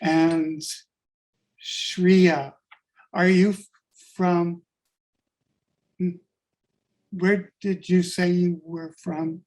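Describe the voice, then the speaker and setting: Slow, halting man presenting a workshop. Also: high-pitched, little pitch movement, a little mellow, rough recording. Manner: slow, halting, stutters, joking. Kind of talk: casual thanks in a group call.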